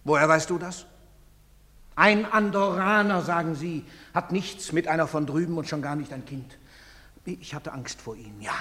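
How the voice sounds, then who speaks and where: A man's voice: fairly high pitch, normal speed, pushed and bright. An actor playing a desperate, guilt-ridden middle-aged father in a theatrical drama, urgent and imploring. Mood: pleading. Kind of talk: theatrical radio drama acting. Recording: long hall reverb.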